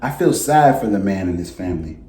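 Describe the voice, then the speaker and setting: A man's voice: low voice, very animated, a little fast, mellow. A man voicing a patient storybook mother who explains things in a reassuring way. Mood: patient, explaining. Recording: roomy, echoey indoor sound.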